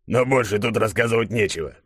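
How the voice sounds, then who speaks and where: A man's voice: on the low side, fast, husky, no echo, clean studio sound, voice pushed up. A man voicing a stern, irritable boss with a formal, commanding manner in an audiobook. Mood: curt.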